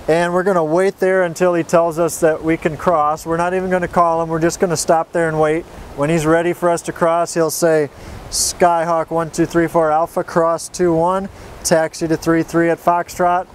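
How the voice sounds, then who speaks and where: A man's voice: a little high, slight background noise. A man working as a flight instructor, giving an informal, straightforward tutorial with folksy step-by-step explanations. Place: outdoors.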